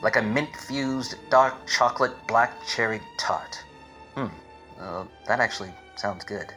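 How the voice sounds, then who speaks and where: Male actor as hard-boiled but dim 1940s gumshoe. Deadpan wisecracks, melodramatic radio narration, mid-pitched. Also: measured pace, soft background music. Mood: wry, resigned. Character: wisecracking, obtuse 1940s private detective.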